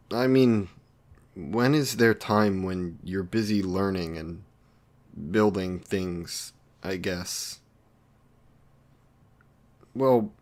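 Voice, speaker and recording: Lonely villain for romance audio roleplay, male actor: lowish voice, unhurried delivery, halting and socially awkward, eager to please. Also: a little mellow. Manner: halting, hesitant, awkward, apologetic.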